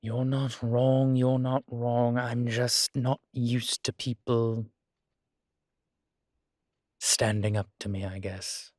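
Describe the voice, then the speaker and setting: A man playing a guilt-ridden, gentle and wry ancient vampire in an intimate audio roleplay, speaking in a halting, reflective way. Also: medium pitch, level tone, dry recording, clean studio sound, close to an everyday voice. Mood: reflective, humbled. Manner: halting, reflective, with sighs and soft chuckles. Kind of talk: intimate one-sided audio roleplay acting.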